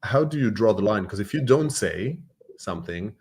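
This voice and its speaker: A man's voice: lowish voice, expressive, moderate pace, darkish tone. A man hosting a conversational tech podcast, thoughtfully building his questions step by step. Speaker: podcast host.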